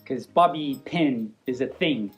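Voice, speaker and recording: A woman's voice: extremely low pitch, animated, measured pace, faint music behind. A woman reading a picture book aloud informally, playful and rambling, with easily sidetracked asides.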